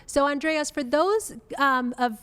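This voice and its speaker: A woman's voice: high-pitched, slow pace, fairly bright tone, big-room sound. A woman hosting an interview podcast, upbeat and effusive as she introduces and questions her guest. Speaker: podcast host.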